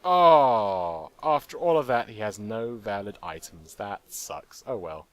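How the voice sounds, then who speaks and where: A man's voice: lowish voice, expressive, slow pace. A man casually commenting on his own gameplay, joking and talking to the game's characters. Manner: playful, jokey, talks to game characters. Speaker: let's play gamer.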